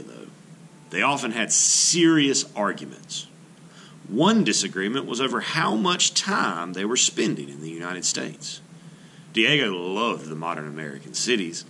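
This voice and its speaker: A man reading a children's biography aloud like an art teacher, in an expressive storytelling way with sentences that trail off. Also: lowish voice. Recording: noticeable background noise.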